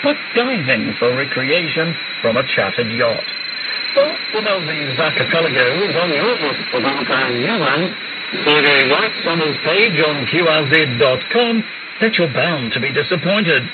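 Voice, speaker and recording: A man's voice: fairly high pitch, lively intonation, unhurried. A man reading scripted amateur radio news as a correspondent, heard over shortwave radio. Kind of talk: scripted news report read aloud. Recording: very noisy narrow-band shortwave radio reception. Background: loud shortwave static.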